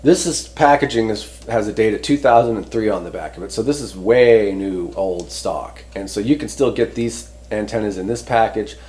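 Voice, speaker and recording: A man presenting a product review in casual, unscripted talk, rambling conversationally and often pausing to rephrase himself. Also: lowish voice, darkish tone. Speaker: host reviewing CB radio antennas.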